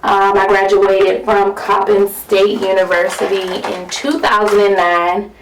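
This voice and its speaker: A 27-year-old woman speaking deliberately in a documentary interview. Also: mid-range voice, little pitch movement, a little hiss or hum. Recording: distant mic in echoey room, faint dish clatter.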